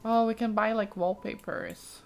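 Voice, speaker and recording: A woman's voice: on the high side, steady tone, unhurried, darkish tone, soft background music. A woman giving casual, chatty let's play commentary, thinking aloud with frequent exclamations as she explores a game. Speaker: gaming streamer, let's play host.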